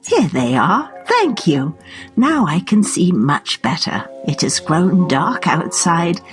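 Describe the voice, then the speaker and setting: A woman playing a seemingly kindly old lady at a spinning wheel in a children's fairy tale, coaxing and husky. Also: on the low side, very animated, slow, faint music behind. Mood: fussing, instructing.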